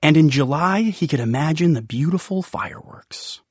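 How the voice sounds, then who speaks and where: A man narrating a children's audiobook in an expressive, playful way with dramatic pauses. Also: fairly high pitch, breathy edge, dry recording. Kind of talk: expressive scripted storybook narration.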